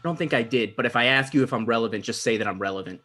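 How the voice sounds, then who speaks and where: Male, actor as vain, insecure host for a comedy table read; middle pitch, dismissive, quick to argue. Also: pushed and bright. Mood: defensive. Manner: dismissive, argumentative. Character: self-important insecure male would-be talk show host.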